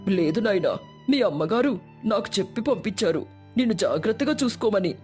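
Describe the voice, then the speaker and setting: A woman voicing a gentle, kindly old man in a moral storybook tale. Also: mid-range voice, lively intonation, brisk, soft background music, lightly acted. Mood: kind, soothing.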